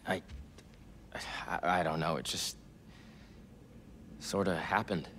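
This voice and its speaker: A man's voice: low-pitched, slow pace, a bit bright, slight background noise. A man playing an eager but cautious young scientist sidekick in a video game, earnest and careful. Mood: uneasy. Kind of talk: naturalistic video game voice acting.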